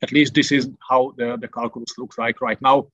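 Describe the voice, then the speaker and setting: A man's voice: medium pitch, level tone, brisk, fairly bright tone, no echo. A man from Hungary with a Hungarian accent gives a methodical military briefing over a video call, with frequent filler sounds. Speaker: military analyst. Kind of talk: briefing over a shared map. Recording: dull lo-fi online call audio.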